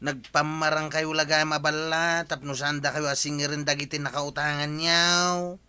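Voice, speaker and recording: An actor with an Ilocano accent playing a cheeky, nosy nephew in a radio comedy drama, questioning and needling. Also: male voice, on the high side, little pitch movement, moderate pace, pushed and bright, clean tone, no echo. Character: cheeky nosy nephew who threatens to tattle on his uncle.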